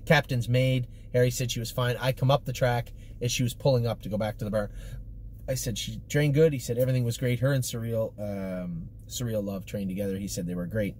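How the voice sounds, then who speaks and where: A man's voice: medium pitch, fairly even intonation, a little fast, darkish tone. A middle-aged man giving a casual, matter-of-fact update to camera in choppy, informal phrases from inside a car. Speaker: stable commentator giving horse updates. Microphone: camera or phone mounted at arm's length. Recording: clear background noise in a car.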